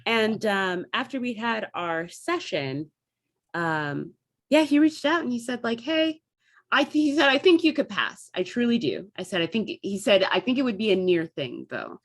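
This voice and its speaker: A woman speaking casually as a panel guest, telling things in an animated, conversational way. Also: mid-range voice, normal speed. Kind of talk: casual chat.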